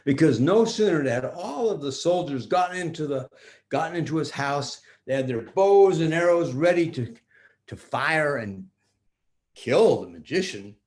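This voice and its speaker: An older man improvising a children's story like a grandpa, theatrical, with pauses and restarts. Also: on the high side, expressive, a little mellow.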